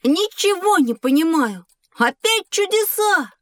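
A woman's voice: very high voice, animated, measured pace, a bit bright, dry recording, heavily acted. A woman playing a naive, inquisitive and cheeky young schoolboy in an educational audio drama. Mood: puzzled. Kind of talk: scripted audio drama dialogue.

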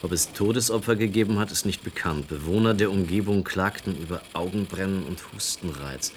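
Radio play: male actor as authoritative, grave investigative boss, deep-voiced and emphatic. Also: brisk, slight background noise, lightly acted. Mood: grave, shaken. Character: alarmed, authoritative boss of a small investigative office. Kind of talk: naturalistic radio drama dialogue.

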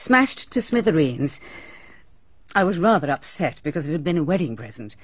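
An actress playing a composed, well-spoken village hostess who answers briskly and a little defensively in a radio drama. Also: female voice, low voice, very animated, breathy edge, dry recording, exaggerated voice. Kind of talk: BBC radio drama acting. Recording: dull archival radio recording.

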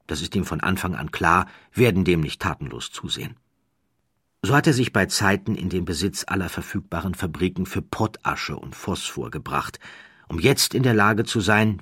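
A man reading a scripted documentary narration in a measured, deliberate way with dramatic emphasis. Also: low voice, a bit bright, breathy edge, no echo, clean studio sound.

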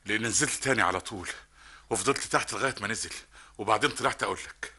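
An actor with an Egyptian accent playing a nervous, jittery accomplice in a spy ring. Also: male voice, on the low side, sharp tone, breathy edge, full character voice. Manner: jittery, rapid. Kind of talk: 1960s Egyptian radio drama acting.

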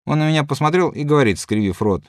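A man's voice: a little high, quick pace, no room sound, clean studio sound, close to an everyday voice. A man reading the part of a good-natured, rambling middle-aged soldier telling his comrades a yarn. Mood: chatty. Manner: chatty, lively yarn-spinning to comrades. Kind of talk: audiobook reading.

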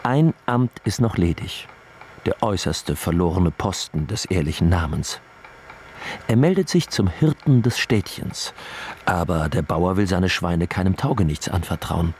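A man narrating a literary radio play in a grave, measured, formal manner, with a husky voice. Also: low-pitched, big pitch swings, darkish tone, a little hiss or hum. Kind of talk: scripted literary narration, dramatic.

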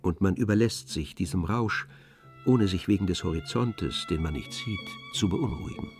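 A man narrating a literary audio drama in measured, polished storytelling phrases. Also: sits low, wildly swooping pitch, music in the background.

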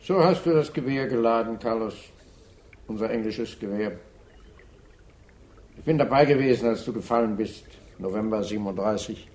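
An actor playing a grave war veteran in a radio drama, addressing a fallen comrade in a solemn, deliberate way. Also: male voice, lowish voice, a little mellow, close to an everyday voice. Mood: solemn, insistent.

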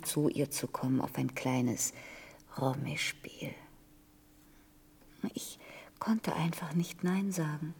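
An actress playing a dignified, apologetic woman prisoner in a radio drama, husky and hushed, politely halting. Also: low-pitched, exaggerated voice. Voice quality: breathy, husky, creaky. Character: dignified, apologetic middle-class woman prisoner, quietly defiant. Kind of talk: intimate German radio drama acting. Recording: long hall reverb.

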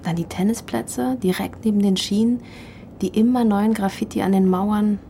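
A woman's voice: mid-range voice, fairly even intonation, moderate pace, creaky, dark tone, slight background noise, close to an everyday voice. A woman playing a frank, unfussy partner in a naturalistic radio drama, speaking casually and directly. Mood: nostalgic, content.

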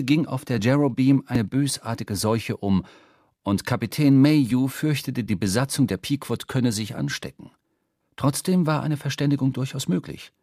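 An actor playing a seafaring narrator who tells a whaling voyage in measured, literary radio drama narration. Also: male voice, mid-range voice, a little mellow, no room sound, clean studio sound, natural voice.

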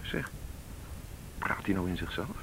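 Sardonic, brusque commissioner, low-pitched, in a faintly noisy radio drama recording. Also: male voice, very animated, unhurried, gravelly, exaggerated voice. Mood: dry, watchful. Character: brusque, impatient male police commissioner. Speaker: police commissioner. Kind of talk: Dutch radio drama acting.

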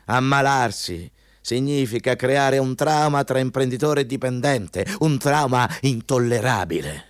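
An actor in an Italian radio play playing a ruthless, patronizing company interviewer who talks in a hectoring, insistent way. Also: male voice, mid-range voice, measured pace, no echo, studio quality, natural voice. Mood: lecturing.

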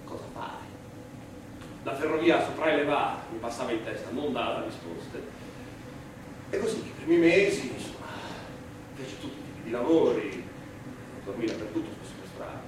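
A man acting an earnest Italian immigrant anarchist in his thirties, testifying at his trial in a halting, emphatic theatrical monologue. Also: mid-range voice, expressive, lightly acted. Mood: troubled. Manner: halting, emphatic, with deliberate pauses and repetitions. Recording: very echoey, distant stage recording, noisy.

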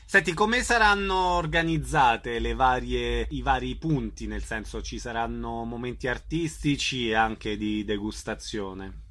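Bright-voiced Italian male radio interviewer. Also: mid-range voice, unhurried.

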